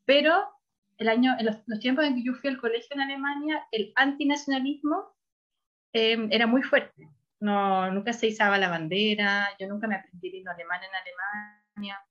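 A woman's voice: on the high side, brisk, a bit bright, highs cut off. A woman, a researcher answering interview questions in a thoughtful, self-correcting way. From Chile. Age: 40s. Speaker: researcher guest.

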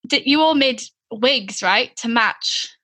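A woman's voice: a little high, takes it slowly, pushed and bright. A young woman from Llanelli with a Welsh accent, chatting and asking questions in an enthusiastic way. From Wales. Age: young adult. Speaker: interviewer. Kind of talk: casual chat.

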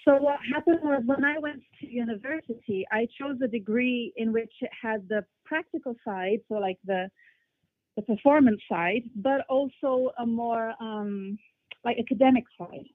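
A young woman with a blended, hard-to-place English accent talks through her answers with lots of ums and restarts. Her voice sits fairly high and comes through a thin, tinny line with no room sound. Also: moderate pace, dry recording. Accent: mixed international English. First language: English and Portuguese. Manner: thoughtful, many fillers and restarts. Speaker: flautist guest. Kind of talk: casual interview answers.

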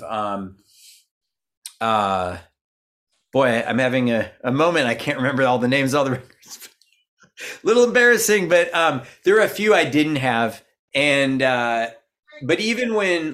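A middle-aged man from Minnesota chats as a guest on an interview, his voice sitting at an ordinary middle pitch. He rambles with lots of "um"s and restarts. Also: fairly bright tone. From Minnesota, USA. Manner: rambling, many fillers and restarts. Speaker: guest musician. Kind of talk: casual interview talk.

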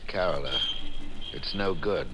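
A man's voice: very deep voice, unhurried. An actor playing a brooding, secretive man, a criminal lover with a grim, guarded manner, in 1940s radio thriller style. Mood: guarded, regretful. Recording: noisy vintage 1940s radio recording.

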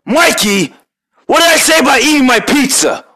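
A man voicing a hot-headed young male turtle ninja with a gruff New York accent and a tough way of talking. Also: medium pitch, big pitch swings, moderate pace, fairly bright tone, no echo, clean studio sound. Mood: loud, brash. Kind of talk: fan voice acting of a cartoon.

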